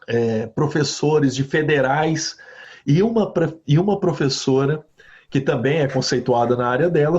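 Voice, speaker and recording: A man from Brazil, a university professor, answering interview questions with animated stories, often ending phrases with a questioning tag. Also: fairly high pitch. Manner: animated storytelling with frequent "né?" tags. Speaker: guest professor. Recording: slightly dull lo-fi audio.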